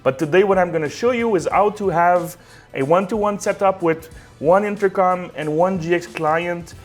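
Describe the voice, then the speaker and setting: Higher-pitched man giving a tutorial. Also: normal speed, soft background music. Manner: conversational, explanatory, often checks with "okay" and "all right". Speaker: technical support director presenting a tutorial. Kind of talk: unscripted step-by-step software tutorial.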